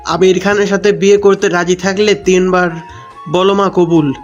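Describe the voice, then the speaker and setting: A man's voice: high-pitched, fairly even intonation, moderate pace, muffled recording, soft background music, close to an everyday voice. A man playing a formal, fatherly wedding officiant in an audio love story. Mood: solemn.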